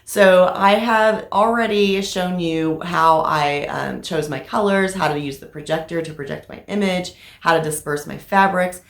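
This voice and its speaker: Slightly raspy woman chatting about projects. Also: fairly low pitch, a little slow, few pauses. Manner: chatty, frequent "um" fillers. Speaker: crafting vlogger. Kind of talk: casual show-and-tell vlog. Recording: echoey room.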